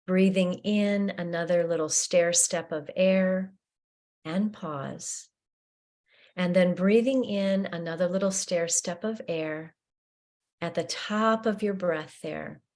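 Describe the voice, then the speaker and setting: A woman guiding a breathing meditation, her voice a little low and her delivery very unhurried. She speaks gently and soothingly, leaving long pauses between cues.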